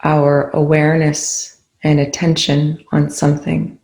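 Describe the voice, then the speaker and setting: A meditation instructor speaking in a soothing, unhurried way with long pauses, guiding a body awareness practice. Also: medium pitch, monotone, a little mellow. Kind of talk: guided meditation. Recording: echoey room.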